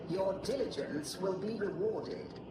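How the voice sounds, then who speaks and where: Here is an actor playing a haughty priestess of a machine cult in a video game. The speech is formal, clinical and condescending. The sound is roomy and set back, under loud noise. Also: mid-range voice, measured pace, distant mic, natural voice. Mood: solemn disdain. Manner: formal, archaic, clinical, disdainful. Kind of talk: video game cutscene acting.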